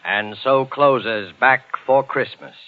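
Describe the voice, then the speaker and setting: A male announcer from vintage radio, speaking very slowly. The recording is narrow and old, with loud music behind him. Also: mid-range voice.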